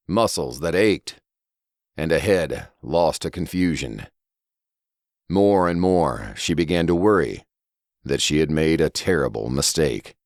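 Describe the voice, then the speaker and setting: A man narrates a dark horror story in dramatic fashion. His voice is very deep and moves expressively through the text. The sound is dry and close. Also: no room sound. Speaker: audiobook narrator.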